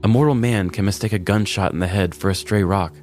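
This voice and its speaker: Deep-ish guy reading a funny scripted story, mock serious, music underneath. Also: fast, darkish tone. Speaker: podcast host and storyteller. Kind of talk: scripted comedic story narration. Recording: music bed behind the voice. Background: background music.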